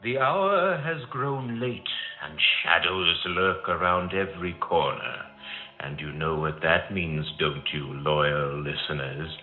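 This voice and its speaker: A man playing a gleefully macabre, pompous announcer in a theatrical horror radio drama. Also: low-pitched. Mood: ominous. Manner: pompous, drawn-out theatrical delivery. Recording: thin phone-like old-radio sound over music.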